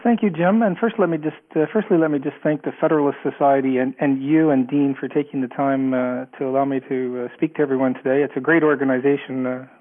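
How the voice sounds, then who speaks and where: A man's voice: medium pitch, fairly even intonation, quick pace, hardly any pauses. A man with a Canadian accent explaining his views as a guest author in an interview, often repeating words as he goes. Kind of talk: spoken interview answers, explanatory. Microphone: telephone. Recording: narrow, tinny phone line.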